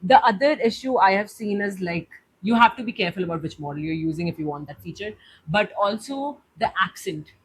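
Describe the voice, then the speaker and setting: A woman's voice: medium pitch, lively intonation, a little fast, a little hiss or hum, rough recording. A young woman with an Indian accent hosting a tutorial, chatting through a step-by-step demo and leaning on "like" as she explains. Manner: chatty, leans on "like" while explaining. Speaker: tutorial host.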